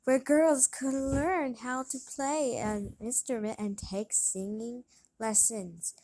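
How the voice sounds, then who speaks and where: A woman reads a children's storybook aloud at a slow pace. Her voice sits fairly high, and her delivery is a little halting as she narrates. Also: steady tone, warm tone. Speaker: storybook reader.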